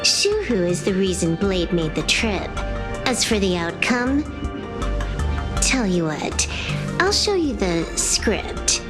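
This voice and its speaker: Unhurried, sly young hacker girl. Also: mid-range voice, big pitch swings. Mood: dry, smug. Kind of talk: scripted video game voice acting. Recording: loud music under the voice. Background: game music.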